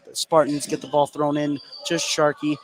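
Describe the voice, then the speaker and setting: A man's voice: a little high, level tone, normal speed. An American man doing live radio basketball play-by-play as the game's commentator. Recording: noisy gym ambience behind commentary.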